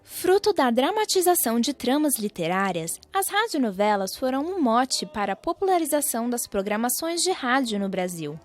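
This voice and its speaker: Brazilian female narrator, higher register and expressive delivery, for scripted radio documentary. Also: normal speed, hardly any pauses. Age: young adult. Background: music.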